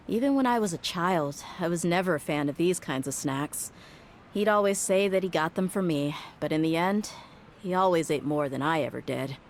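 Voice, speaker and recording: A blunt, deep-voiced mercenary. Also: female voice, a little hiss or hum. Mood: exasperated. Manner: blunt, wry, sighing. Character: tough, blunt young female mercenary, wry and exasperated. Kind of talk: game voice acting.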